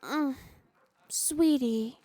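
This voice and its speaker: A woman's voice: high-pitched, drawn-out words, dark tone, no echo, full character voice. A woman playing a doting, teasing young noblewoman wife in an intimate ASMR roleplay, sweet and coaxing. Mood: teasing, affectionate. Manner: sweet, coaxing, playfully scolding.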